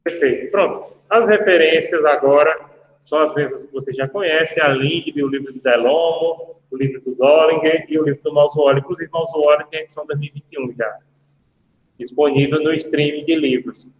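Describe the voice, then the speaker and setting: Guy lecturing, bit high voice, chatty tangents, roomy echo. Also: normal speed, slight background noise. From Brazil. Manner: chatty, anecdotal, jokey asides to students. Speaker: law professor. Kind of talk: casual online lecture. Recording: echoey room with long reverb.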